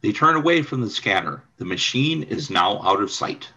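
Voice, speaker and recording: A man's voice: on the low side, animated, moderate pace, sharp tone, breathy edge, highs cut off. A man reading scripted scene narration for a radio play. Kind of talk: scripted narration of stage directions.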